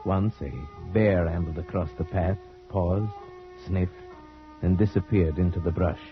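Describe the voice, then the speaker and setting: A low-pitched male hunter from an old radio thriller, deliberate and dramatic, with an undercurrent of unease. The recording is muffled and vintage, with music behind. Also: steady tone. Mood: brooding, uneasy. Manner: deliberate, dramatic first-person storytelling. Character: male hunter friend narrating a suspense tale, uneasy, loyal. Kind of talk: old-time radio acting, suspense narration.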